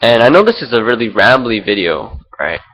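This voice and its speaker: A man's voice: lowish voice, big pitch swings, brisk, a bit bright, rough recording. Young man, from Singapore, rambling unscripted musings, hesitant with fillers, laptop mic. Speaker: vlogger. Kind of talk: unscripted personal reflection. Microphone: laptop built-in mic.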